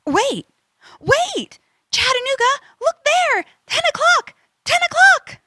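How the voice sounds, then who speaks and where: A woman's voice: extremely high pitch, takes it slowly, no room sound, clean studio sound, heavily acted. A woman playing a sensible, anxious, exasperated adventurer's sidekick in a comedic children's audio drama. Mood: urgent, panicked. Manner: exasperated, excitable.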